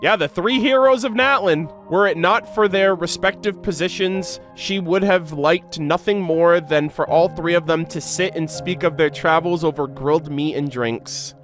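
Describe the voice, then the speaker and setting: A man's voice: a little high, moderate pace, few pauses, fairly bright tone. A man hosting a game reaction video, commenting and reading story text aloud over music.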